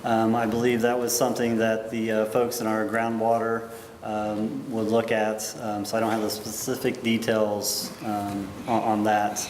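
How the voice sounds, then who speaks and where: A man's voice: fairly low pitch, completely flat delivery, moderate pace, a little mellow. A man, an agency official, answering public questions at a hearing with many ums and restarts. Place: public meeting hall. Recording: echoey hall, background noise.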